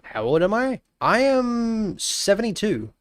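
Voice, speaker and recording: A man's voice: sits high, big pitch swings, measured pace, dry recording. Joking young man chatting with his stream. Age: 20s. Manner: joking, greets chat warmly, trails off into mumbles. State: blocked nose. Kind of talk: casual live-stream chat.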